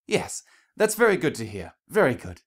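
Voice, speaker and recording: A man's voice: medium pitch, wildly swooping pitch, moderate pace, pitched above the performer's own voice. A man voicing a genteel, gushing female cartoon pony in a storybook reading, refined and anxiously polite. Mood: forced politeness. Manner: refined, effusive, over-polite.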